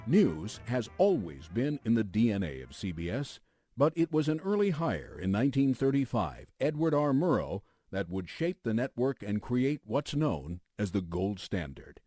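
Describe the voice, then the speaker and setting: A man's voice: lowish voice, very animated, moderate pace, mellow, slightly rough, no echo, clean studio sound, soft background music. An older man hosting a radio special with polished, scripted anchor narration.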